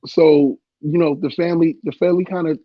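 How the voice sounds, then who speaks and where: A man co-hosting a laid-back podcast, his voice congested from a cold. He talks in a playful, teasing way, a little higher than most men. The sound is dull and lo-fi, with a dry, close feel. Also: fairly even intonation, fairly quick, no echo. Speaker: podcast co-host. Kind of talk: casual chat.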